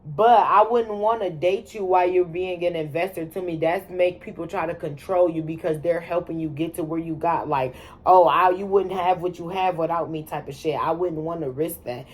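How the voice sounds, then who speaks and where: A woman's voice: on the low side, normal speed. A woman in her twenties talking casually and bluntly as the host, swearing freely and using slang. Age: 20s. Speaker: channel host. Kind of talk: casual chat.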